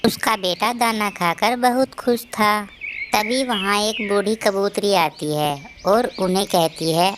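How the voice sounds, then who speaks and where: A woman's voice: mid-range voice, a little slow, noisy background. A woman narrating a scripted children's moral story.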